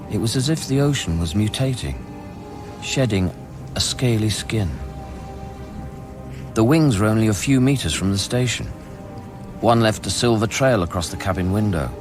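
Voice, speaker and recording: A man acting a haunted scientist in a radio drama, recounting life on an alien world in measured, introspective, literary phrases. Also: lowish voice. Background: music.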